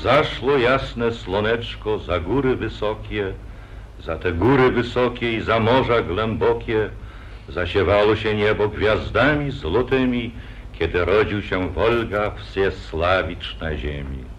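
A man's voice: fairly low pitch, lively intonation, slow pace. Solemn man narrating epic verse. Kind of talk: 1940s radio acting. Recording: dull lo-fi 1948 radio recording.

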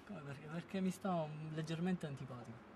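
A man's voice: on the high side, brisk, noisy background. A young man from Palermo, giving a blunt, opinionated street interview answer into a handheld microphone outdoors. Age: young adult. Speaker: passer-by. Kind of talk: street vox pop. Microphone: handheld reporter mic.

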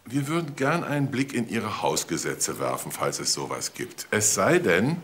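A man's voice: fairly low pitch, big pitch swings, normal speed, fairly bright tone, husky, exaggerated voice. A man playing a wry, persistent police detective in a crime drama, dry, polite and probing. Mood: dry, insistent. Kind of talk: German TV crime drama acting.

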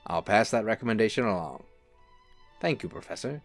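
A man playing a suave, serene angel in a radio-style drama, reassuring and gently evasive. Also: fairly low pitch, lively intonation, a little fast, no room sound, soft background music. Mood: serene, teasing. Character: suave, serene male angel posing as an assistant. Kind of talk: film-adaptation audio drama acting.

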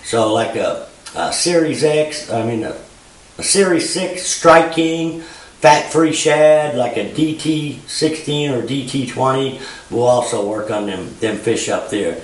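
A man's voice: mid-range voice, a little slow. A man in his fifties with a Missouri Ozarks accent, giving a casual, rambling fishing report full of "you know" and "uh". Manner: plain-spoken, rambling, frequent "you know" and "uh". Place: garage with a bass boat, indoors. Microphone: far camera. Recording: roomy garage echo, distant mic.

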